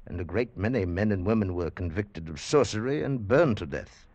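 An actor playing an assured, rational doctor in a 1940s radio drama, deliberate and dismissive. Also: male voice, lowish voice, expressive, darkish tone, no echo. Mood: grave, explanatory. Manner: authoritative, deliberate, explanatory.

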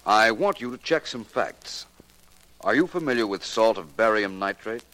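A man's voice: fairly low pitch, animated, moderate pace, bright, forceful tone. A man playing a suave, self-assured amateur detective with precise, polished speech in a 1940s radio drama. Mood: coolly probing. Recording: noisy 1940s radio broadcast recording.

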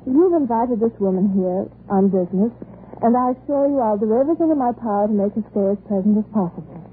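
An actress in a 1930s radio drama playing a coolly sardonic, suspicious upper-class wife, polite but dry and pointed. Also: female voice, on the high side, fast talker, close to an everyday voice. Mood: cool, restrained. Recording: noisy, tinny 1930s radio broadcast.

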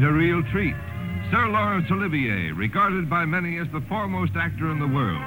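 Animated radio host over music. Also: male voice, fairly high pitch, poor audio. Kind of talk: dramatic 1950s radio announcing.

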